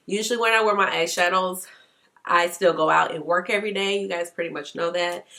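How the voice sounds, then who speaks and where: A woman's voice: lowish voice, a bit bright. Stuffy-nosed woman chatting through makeup. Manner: chatty, laughs often, says "okay?". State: congested, stuffy nose. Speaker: beauty vlogger. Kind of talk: casual makeup tutorial chat.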